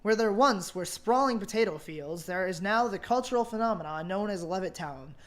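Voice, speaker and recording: Young woman, news anchor, medium pitch, scripted reading, mock newscast. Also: animated, normal speed. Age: young adult.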